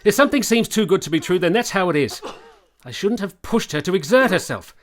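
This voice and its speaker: A man's voice: high-pitched, lively intonation, fairly quick. A man playing an irascible, sarcastic army doctor in a period radio play, blustering and exasperated. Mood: angry, self-blaming.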